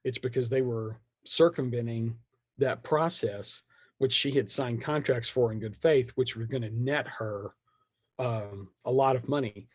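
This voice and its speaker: A man's voice: medium pitch, unhurried, slightly rough, no echo. A man talking casually and with strong opinions as a podcast guest, slipping into imagined quotes in slangy language. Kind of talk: animated casual conversation.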